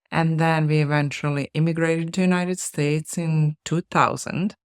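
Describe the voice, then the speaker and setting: Woman surgeon, smooth voice. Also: deep voice, no echo, clean studio sound. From Bosnia. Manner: measured, earnest, humble. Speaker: surgeon, interview guest. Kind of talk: reflective interview answers.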